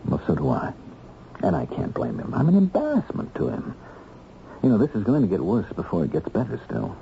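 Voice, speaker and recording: A low-voiced man, an earnest ex-convict husband in a radio play, measured and wry with small hesitations. The old recording is dull and a little noisy. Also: huge pitch swings, vocal fry, warm tone, full character voice. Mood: tender, wry. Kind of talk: radio drama acting.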